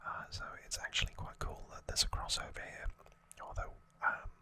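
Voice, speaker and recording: Bright, breathy ASMR whisperer. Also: normal speed. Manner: hushed, conversational explaining. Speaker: ASMR host recapping an anime series.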